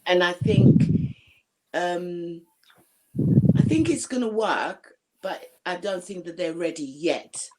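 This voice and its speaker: A woman with a gruff, somewhat low voice chats casually with her family support team. She speaks frankly and often breaks off to restart her sentences. A faint noise sits under her voice. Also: lively intonation, slow, dark tone, rough recording.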